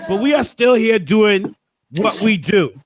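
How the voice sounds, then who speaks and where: A hoarse man hosting a casual recap, hyping things up with preacher-like flourishes. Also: high voice, animated, unhurried, no echo. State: hoarse, losing his voice. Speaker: host.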